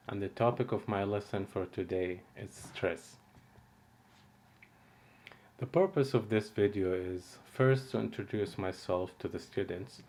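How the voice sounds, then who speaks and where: Deep-voiced man reading a lesson. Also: darkish tone, slight background noise. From Egypt. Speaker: course instructor. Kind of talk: read-out lesson introduction.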